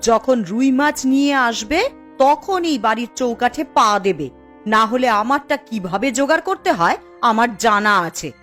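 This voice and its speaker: A woman's voice: a little high, animated, unhurried, fairly bright tone, soft background music. A woman playing an emotional village wife in a fairy-tale cartoon, with a dramatic, emphatic delivery. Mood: scornful, angry. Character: emotional village wife, by turns scolding and tender.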